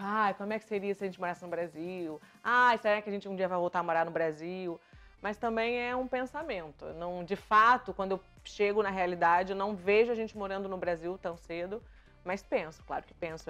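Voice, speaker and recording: A woman's voice: fairly low pitch, fairly quick. Woman, 30s, Carioca Brazilian accent, chatty vlog to camera, faint background music. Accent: Carioca Brazilian Portuguese with European Portuguese touches. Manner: lively and chatty, talks with her hands. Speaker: vlogger answering viewer questions. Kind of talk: casual chat to camera. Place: bright living room, seated on a sofa.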